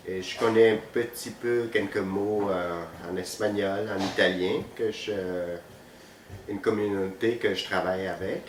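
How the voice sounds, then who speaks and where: A man in his fifties, a Vietnamese speaker, answering interview questions with many hesitant pauses. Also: lowish voice, steady tone. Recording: roomy, distant, faint noise.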